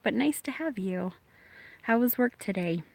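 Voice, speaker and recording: A woman from Minnesota casually chatting with viewers on a live stream from her phone outdoors, her voice slightly raspy and congested from allergies, often dropping to a hush. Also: fairly high pitch, expressive, a little slow, a little hiss or hum. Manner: soft-spoken, often hushed, laughing to herself. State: congested from allergies after a chest cold. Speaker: livestream host. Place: outdoors during a thunderstorm. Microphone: phone held outdoors.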